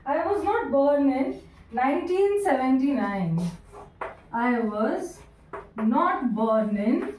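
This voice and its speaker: A woman teaching English grammar, repeating each sentence slowly word by word and asking her students questions. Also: high-pitched, lively intonation, darkish tone, slight background noise. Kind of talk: classroom grammar lesson. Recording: echoey room, voice from a distance.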